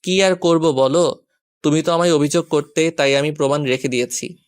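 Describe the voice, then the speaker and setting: A man's voice: fairly high pitch, steady tone, moderate pace, clear, resonant tone, close to an everyday voice. Man acting teasing, grumpy young boyfriend. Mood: defensive. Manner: teasing, mock-annoyed. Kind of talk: romantic audio story acting.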